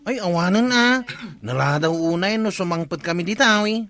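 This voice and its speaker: A man's voice: high-pitched, moderate pace, fairly bright tone. A man playing an exasperated village man who complains emphatically, in an Ilocano radio comedy drama. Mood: complaining.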